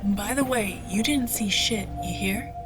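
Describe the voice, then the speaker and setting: A woman's voice: medium pitch, measured pace, close to an everyday voice. A woman playing a brusque, foul-mouthed pirate crew member in an audio drama, curt, mocking and menacing, under music. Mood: threatening.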